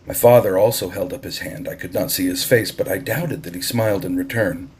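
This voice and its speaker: A man narrating an audiobook in a deliberate, expressive storytelling style. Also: low-pitched, breathy edge.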